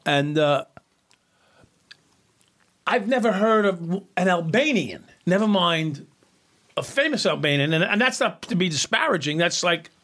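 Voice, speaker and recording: A man's voice: high-pitched, lively intonation, measured pace, long pauses, fairly bright tone. A middle-aged man, a co-host, asks probing questions in a casual studio chat, stopping and restarting his sentences. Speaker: podcast co-host. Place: small podcast studio with LED lights. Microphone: desk mic on boom arm.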